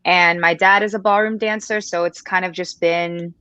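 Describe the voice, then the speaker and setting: A woman's voice: on the low side, steady tone, normal speed, sharp tone, dry recording. A woman dancer chatting on a panel, saying "like" a lot. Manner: chatty, says "like" often. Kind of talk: panel conversation.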